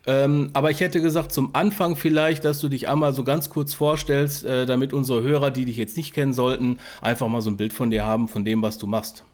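A man's voice: medium pitch, steady tone, brisk, hardly any pauses, a little mellow. A man co-hosting a podcast, chatting casually as he interviews a guest. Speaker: podcast co-host.

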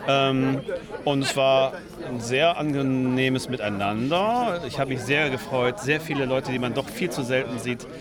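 A man's voice: medium pitch, unhurried. A man giving a casual interview, with hesitant, self-correcting phrasing. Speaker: interviewed author and podcaster. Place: busy audio drama convention. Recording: busy convention crowd behind voice.

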